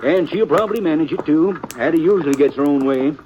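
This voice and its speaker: A man's voice: fairly high pitch, fast, natural voice. An actor playing a chatty, folksy frontier wagon peddler with a rural Western American accent and an eager salesman's patter, in 1950s radio western style. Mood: gossipy. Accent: rural American West. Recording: muffled, noisy old radio recording.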